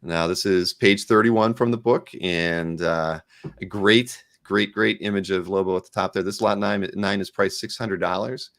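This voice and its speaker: A man from the American Midwest hosting a live art sale stream. He talks in a halting way, with many fillers and repeated words. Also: low voice, steady tone, fairly bright tone, no echo, studio quality. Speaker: host.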